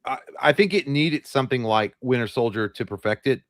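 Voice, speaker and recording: A man with a naturally deep voice, co-hosting a live movie chat with blunt, profane banter. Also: expressive, a little fast, fairly bright tone, no echo, studio quality. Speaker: podcast co-host.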